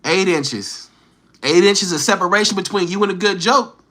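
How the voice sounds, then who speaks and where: A man's voice: high voice, moderate pace, a bit bright. A man livestreaming, bantering with and teasing his chat in slangy street talk, firing challenging questions.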